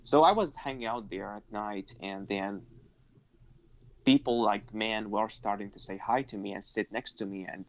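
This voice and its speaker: A man's voice: on the low side, moderate pace, rough recording. A man from Iraq, a guest telling his life story in an earnest, reflective way and laughing easily. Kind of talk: personal life story in conversation.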